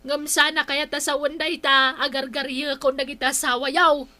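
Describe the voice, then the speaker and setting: A high, bright Ilocano village gossip. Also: female voice, little pitch movement, normal speed. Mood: indignant, hurt. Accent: Filipino, Ilocano. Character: aggrieved, gossipy village woman in barangay comedy. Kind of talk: broadcast radio comedy drama acting.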